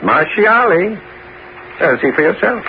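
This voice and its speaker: An actor playing a smooth-tongued, scheming French bishop and former musketeer in a 1970s radio drama, suave and persuasive. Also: male voice, sits high, big pitch swings, a little slow, exaggerated voice. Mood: feigned confusion. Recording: dull, faintly noisy old radio recording.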